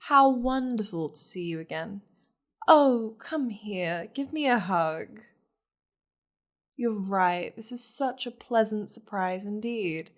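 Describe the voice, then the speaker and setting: Demon noblewoman played by a woman for ASMR roleplay: slow, commanding delivery, possessive and flirtatious, with teasing, haughty endearments. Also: medium pitch, animated. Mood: gracious, haughty. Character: possessive, wealthy, flirtatious high-ranking demon lady.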